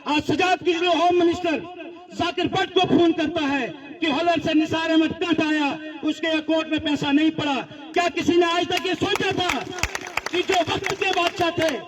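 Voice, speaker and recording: A man's voice: very high voice, steady tone, normal speed. A man gives a political speech at a public rally, speaking in emphatic, repetitive phrases. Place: public address before a gathering. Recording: dull lo-fi audio, crowd sounds.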